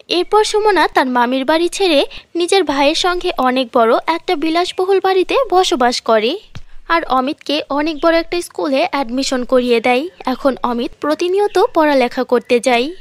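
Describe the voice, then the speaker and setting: A woman's voice: high-pitched, no echo. A woman reading scripted storybook narration for a fairy-tale cartoon.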